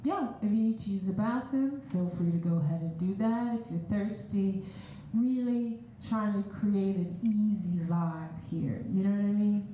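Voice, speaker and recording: A woman hosting a live performance, casually introducing the musicians to the audience in a relaxed, rambling way with many fillers. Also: medium pitch, moderate pace, heavy reverb, muffled recording, slight background noise. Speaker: performance host. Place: large echoey event space.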